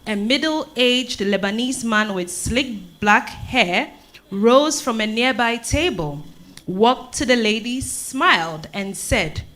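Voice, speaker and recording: A woman acting as the measured narrator of a dramatized stage reading. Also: a little high, animated, fairly bright tone, low-quality recording. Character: measured female stage narrator announcing the story's weeks.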